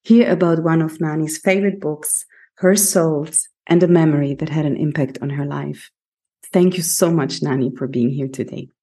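A woman's voice: low-pitched, fairly even intonation, moderate pace, mellow, breathy edge. A woman hosting a podcast, presenting and putting questions to a guest. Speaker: podcast host. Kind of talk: scripted introduction and interview questions.

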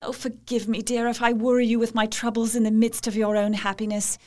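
Woman acting a troubled young Victorian wife, higher voice. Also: flat delivery, brisk, darkish tone, lightly acted. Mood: confiding, strained. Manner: tender, formal, confiding. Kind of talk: dramatized letter reading in gothic audio drama. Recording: faint music behind. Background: music.

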